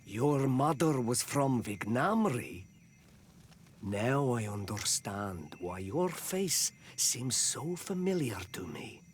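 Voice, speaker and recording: An actor playing a measured male clan chief in a video game, solemn and deliberate. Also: medium pitch, big pitch swings, a little hiss or hum. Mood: welcoming. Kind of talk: video game cutscene acting.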